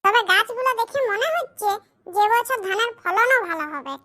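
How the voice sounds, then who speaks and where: Dutiful young village son, extremely high voice, bright and shrill, pitched-up filter, earnest cartoon comedy dialogue. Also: expressive, moderate pace, exaggerated voice. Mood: agreeable.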